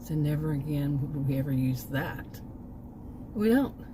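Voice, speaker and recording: A woman's voice: deep voice, expressive, unhurried, noisy background. A middle-aged woman from Texas with a Texan accent, telling a rambling, emphatic story about a bad experience in casual vlog style, speaking from a car. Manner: exasperated, emphatic, rambling with asides. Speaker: vlogger. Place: in a car by a park.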